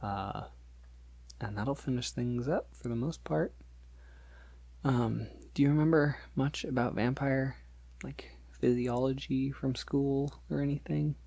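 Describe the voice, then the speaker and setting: A man playing a polite, shy vampire in an ASMR roleplay, speaking softly and haltingly in a gentle, reassuring way. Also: mid-range voice, gravelly, dry recording. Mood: gentle, explaining. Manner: hesitant, halting, gentle, reassuring, with small nervous chuckles. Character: polite, shy, considerate male vampire on a first feeding date.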